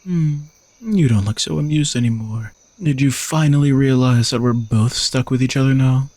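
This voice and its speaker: A man's voice: medium pitch, normal speed, darkish tone, dry recording, lightly acted. A man playing an arrogant, sardonic knight who is a reluctant royal bodyguard, teasing and condescending in a romantic audio roleplay. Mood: teasing, smug. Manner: teasing, dry, exasperated sighs, condescending.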